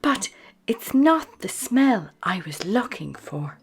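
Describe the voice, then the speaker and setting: A woman's voice: a little high, sing-song, slow pace, breathy edge, soft background music, voice pushed up, heavily acted. A woman voicing a cheerful, curious young puppy boy in a storybook reading. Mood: eager.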